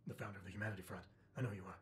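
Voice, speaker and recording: An actor playing a terse, guarded augmented security chief in a video game, with deadpan, clipped delivery. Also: male voice, sits low, racing, slight background noise. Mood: dry, guarded. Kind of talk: video game cutscene acting.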